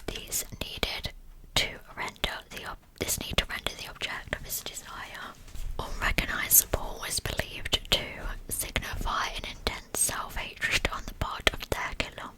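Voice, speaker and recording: A woman speaks in a steady, breathy whisper as the host of a true crime ASMR recording. Her words stay unvoiced, carried on breath instead of full voice, in the manner of whispered storytelling. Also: rough recording.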